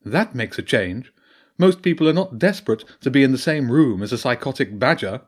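A man voicing a wise female badger priestess in an audiobook, speaking in a measured, knowing way with solemn warnings. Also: medium pitch, animated, slightly rough. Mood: dry, wry. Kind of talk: audiobook character voice.

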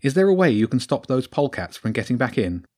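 A man's voice: medium pitch, very animated, fast talker, darkish tone, close to an everyday voice. A man voicing a brave, determined little girl in a storybook, with a firm, earnest and urgent manner. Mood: resolved.

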